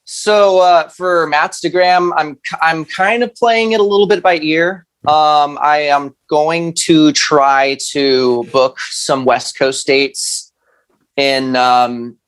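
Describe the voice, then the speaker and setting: A man's voice: a little high, takes it slowly, fairly bright tone, clear, resonant tone. A thirty-year-old man chatting casually as a musician guest in an interview, hesitant and rambling, with slangy phrasing.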